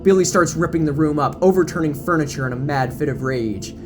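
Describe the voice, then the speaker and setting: A man narrates a horror audio drama in a dramatic, scripted style. His voice sits a little high for a man, and music plays clearly behind him. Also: brisk.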